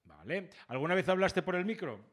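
A man hosting a casual radio interview, chatty and joking as he fires quick follow-up questions. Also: high-pitched, animated. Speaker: radio host.

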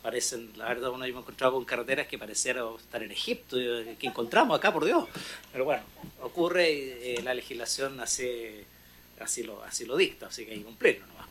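A man giving formal remarks in a fast, animated way. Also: mid-range voice, slight background noise.

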